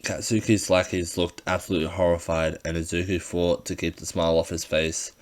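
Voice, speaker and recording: A man with a low-pitched voice narrates a written story. The delivery is that of an amateur audiobook reader working through a script. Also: flat delivery, a little fast. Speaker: narrator, fan fiction reader.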